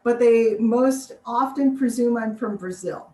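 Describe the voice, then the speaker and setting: A woman's voice: on the high side, measured pace, warm tone. An American woman biologist answering interview questions on a video call, chatty, laughing often and acting out what people said. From United States. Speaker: biologist guest. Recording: distant roomy mic with faint noise.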